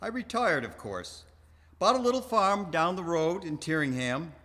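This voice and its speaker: A man's voice: on the high side, sing-song, normal speed, sharp tone, full character voice. A man playing an elderly, genial star of the stage in a live radio theater comedy, theatrical and suave. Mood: breezy, boastful. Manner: theatrical, debonair, speaks song lyrics in rhythm.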